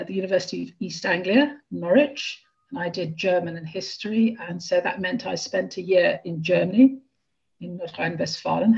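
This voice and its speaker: Gravelly London-raised woman on a call. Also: mid-range voice, darkish tone, muffled recording. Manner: brisk, chatty recounting with fillers. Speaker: guest. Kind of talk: video call conversation.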